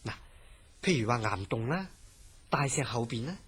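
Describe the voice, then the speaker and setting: An actor playing a calm, reassuring hospital director in a horror radio drama, measured and husky, with a Hong Kong Cantonese accent. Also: male voice, medium pitch, natural voice. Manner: measured, placating.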